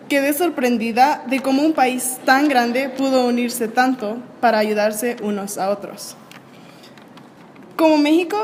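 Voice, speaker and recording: A young woman from El Salvador answers interview questions at a measured pace. Her voice sits fairly high and trails into a long reverb. A faint background noise lies under her. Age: young adult. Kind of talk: student video interview.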